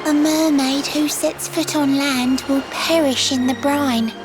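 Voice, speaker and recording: An actress playing a lovelorn, wistful mermaid who speaks in lilting rhyming verse for a video game. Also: female voice, high-pitched, steady tone, measured pace. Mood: tender longing. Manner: lilting, sing-song, dramatic delivery. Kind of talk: theatrical video game voice acting in rhyme. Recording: music under the voice.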